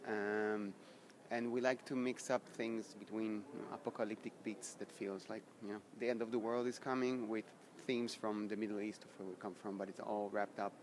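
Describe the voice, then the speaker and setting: Low-voiced man, halting, noisy room. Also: flat delivery. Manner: halting, repeats words while searching. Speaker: band member. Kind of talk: casual interview chat. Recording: noisy background.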